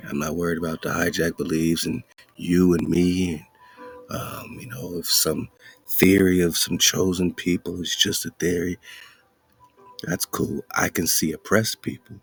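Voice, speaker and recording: A male internet radio host talks in a low, gravelly register with a husky edge. He comments on an article in a loose, preachy way and laughs between points. Faint music plays underneath. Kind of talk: casual commentary over a read-aloud article.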